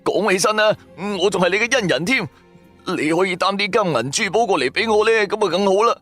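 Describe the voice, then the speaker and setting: A man with a Cantonese accent voicing a jumpy, superstitious, self-serving sidekick in an audio drama, excitable and wheedling. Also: high voice, quick pace, soft background music, voice pushed up. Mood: nervous pleading.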